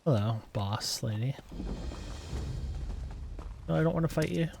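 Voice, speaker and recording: A man's voice: medium pitch, expressive, unhurried, vocal fry, over music. A man hosting a gameplay video, commenting casually and reading dialogue choices aloud. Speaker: gamer, channel host.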